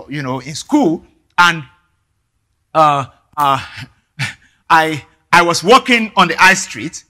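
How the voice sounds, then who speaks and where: A man from Nigeria, a native Yoruba speaker, preaching a sermon earnestly, often repeating words and filling pauses. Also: high voice, bright, forceful tone. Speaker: pastor.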